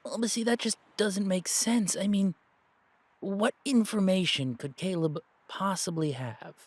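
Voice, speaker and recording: A man playing an earnest, anxious young werewolf who thinks aloud haltingly in an audio roleplay. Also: high voice, no room sound, pitched above the performer's own voice. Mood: puzzled, thoughtful. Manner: hesitant, rambling, halting.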